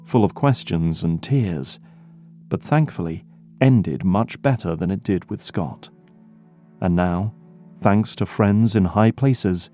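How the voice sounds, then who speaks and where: A man's voice: low-pitched, mellow. A man reading scripted dramatic narration as the narrator of an audio drama.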